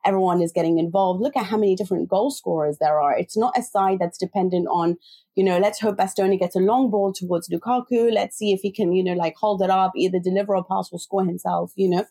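A woman's voice: on the low side, mellow, slightly rough. A woman co-hosting a football chat, talking casually and often adding "you know". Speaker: football podcast co-host.

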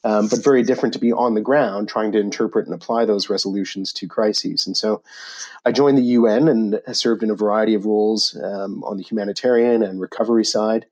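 Man from Canada, fairly low voice, thoughtful and halting, lots of fillers. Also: fairly even intonation, a little mellow. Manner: measured, many "uh" and "um" fillers. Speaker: guest, author and UN official. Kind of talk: podcast interview. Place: office in Jerusalem.

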